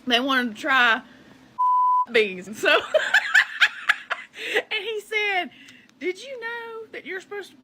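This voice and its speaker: A woman's voice: extremely high pitch, animated, slow, pauses often, bright, forceful tone, slight background noise. A woman telling a stand-up joke, giggling through her story. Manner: giggly storytelling, breaking into laughter. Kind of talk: stand-up comedy bit.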